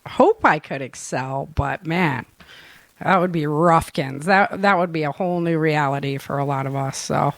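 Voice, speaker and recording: A woman's voice: low-pitched, normal speed, dry recording. A woman hosting a casual live chat show, talking informally with plenty of everyday slang. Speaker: show host and producer.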